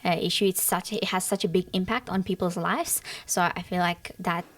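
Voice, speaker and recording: A woman's voice: on the low side, fairly even intonation, normal speed. Earnest teenage girl from Afghanistan. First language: Dari. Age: teens. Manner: earnest, articulate, frequent ums. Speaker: guest. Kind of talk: podcast interview.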